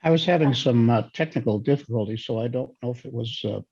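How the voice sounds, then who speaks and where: Gravelly, husky man speaking haltingly. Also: mid-range voice, a little mellow, lo-fi sound. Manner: halting, searching for words. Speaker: Bible study participant. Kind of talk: casual group discussion.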